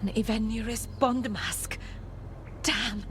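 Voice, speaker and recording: A woman's voice: fairly high pitch, fairly even intonation, slow pace, a bit bright, a little hiss or hum. A woman playing a blunt, resolute and knowledgeable elven scout in a fantasy game, with a matter-of-fact delivery. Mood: grim, blunt.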